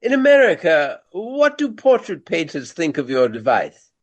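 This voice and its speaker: An actor playing a flamboyant, vain Regency English stage actor with pompous, formal old-fashioned speech in a radio play. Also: male voice, fairly high pitch, huge pitch swings, normal speed, lo-fi sound. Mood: curious, marveling.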